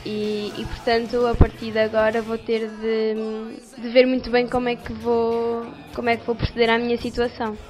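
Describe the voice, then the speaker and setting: High-voiced young Portuguese woman. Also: monotone, normal speed, hardly any pauses, darkish tone, soft background music. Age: young adult. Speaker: university student. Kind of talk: spontaneous vox pop answer.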